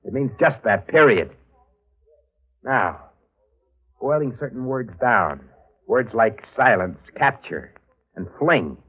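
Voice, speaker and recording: An actor playing a greedy, menacing killer with blunt, slangy delivery, in 1940s radio drama style. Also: male voice, mid-range voice, animated, slow pace, long pauses, husky, full character voice. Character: brash, greedy, menacing male killer narrating his crime. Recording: muffled vintage radio recording with music.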